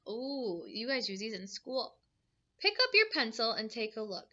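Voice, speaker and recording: A woman's voice: fairly high pitch, sing-song, normal speed, bright, forceful tone, dull audio. A woman teacher reading a picture book aloud to young children, adding simple explanations and questions in an instructive way.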